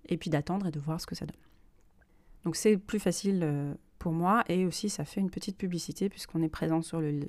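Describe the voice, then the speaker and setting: A woman's voice: on the low side, darkish tone, dry recording. A woman hosting a personal podcast, speaking unscripted and reflectively, often hesitating as she searches for her words. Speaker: podcast host and self-published author.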